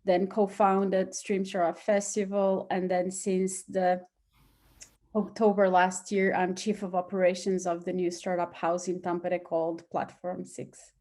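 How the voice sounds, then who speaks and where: A woman's voice: on the low side, flat delivery, unhurried, mellow. A woman from Portugal, answering conversationally as an interview guest. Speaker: interview guest, start-up hub operations chief.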